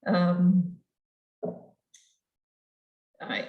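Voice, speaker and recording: A young woman on a video call in an echoey room, introducing herself casually and haltingly as a student panelist. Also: fairly low pitch, completely flat delivery, narrow, tinny audio. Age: young adult. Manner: halting, weary.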